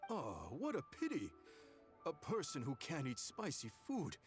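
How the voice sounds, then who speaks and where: A man's voice: on the high side, wildly swooping pitch, measured pace, music in the background. An actor playing a jovial, welcoming restaurant chef who takes pride in his cooking, in a video game. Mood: cheerful. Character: proud restaurant chef, middle-aged man.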